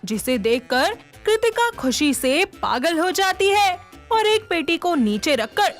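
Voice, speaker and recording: A woman reads scripted story narration. Her voice is high and she goes slowly, with light music behind her. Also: very animated.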